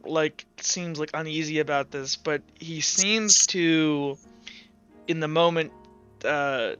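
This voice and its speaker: A woman's voice: low voice, slow, a bit bright, smooth, lo-fi sound. A woman improvising narration as game master of a tabletop game, in a casual, informal way. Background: music.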